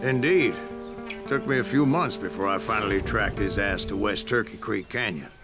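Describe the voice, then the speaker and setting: An actor playing a grizzled old gunslinger with an American Western accent, spinning boastful, salty tales in a video game. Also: male voice, fairly low pitch, moderate pace, lightly acted. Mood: wry, matter-of-fact. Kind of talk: dramatic storytelling narration. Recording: music behind the voice.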